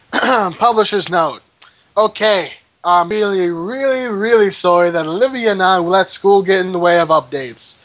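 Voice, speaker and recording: High-voiced young-sounding man reading aloud. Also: a little slow, a bit bright, low-quality recording. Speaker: co-reader. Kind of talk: reading fan fiction aloud.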